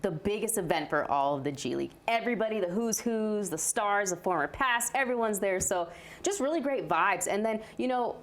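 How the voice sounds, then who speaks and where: A woman's voice: medium pitch, lively intonation, a little fast. An American woman giving conversational sports commentary as a show reporter.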